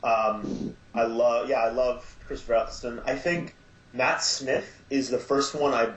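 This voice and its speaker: A man's voice: mid-range voice, fairly even intonation, a little slow. A man chatting as a podcast guest, weighing his words thoughtfully as he talks. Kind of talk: casual chat. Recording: roomy, dull sound with faint hiss.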